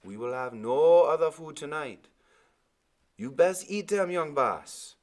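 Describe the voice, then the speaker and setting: A man plays an old sailor from a storybook, pitched well above his narrating voice. He is stubborn and quietly firm, with slow, drawn-out folksy phrases. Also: animated. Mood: stern, urging. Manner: slow, folksy dialect speech. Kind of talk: storybook character voice in a read-aloud.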